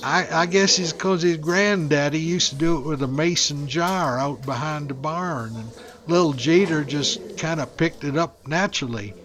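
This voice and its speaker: A man playing an apologetic, slow-witted father with a folksy drawl in a radio comedy sketch. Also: fairly high pitch, a bit bright, natural voice. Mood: sorry, resigned.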